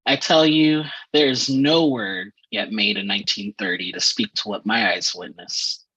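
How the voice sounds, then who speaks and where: A man giving a measured, deliberate dramatic reading of poetry in a husky voice. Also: on the low side, sharp tone. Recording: dull, lo-fi audio.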